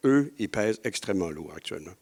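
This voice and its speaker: A man's voice: low voice, very animated, fast, a little mellow. A man explaining methodically in a panel discussion, point by point as a publisher.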